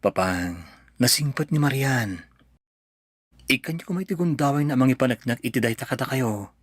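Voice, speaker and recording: A man's voice: medium pitch, expressive, normal speed, dry recording, clean studio sound, natural voice. A man playing an earnest, pained son and devoted husband in a radio drama, pleading, with an Ilocano accent. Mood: pleading, reproachful.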